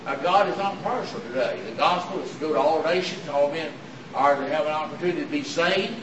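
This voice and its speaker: A hoarse, raspy-voiced man preaches an earnest Sunday sermon, halting often on "uh" as he works through the scriptures. His voice sits a little high for a man. He is caught from far off by a room mic in a church auditorium, with a roomy echo and clear background noise around him. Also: dull audio. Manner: earnest, halting delivery with many "uh" fillers. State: hoarse, raspy from throat trouble. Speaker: visiting preacher.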